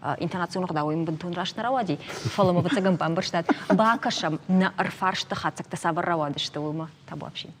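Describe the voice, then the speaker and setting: A woman presenting a television magazine programme in a semi-spontaneous way, hesitating with drawn-out filler sounds. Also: on the low side. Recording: reverberant hall with background noise.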